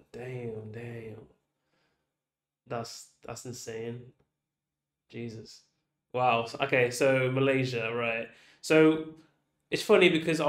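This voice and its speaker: A man hosting a casual interview, talking in a relaxed, chatty way and saying "like" a lot. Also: medium pitch, roomy sound. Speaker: interview host.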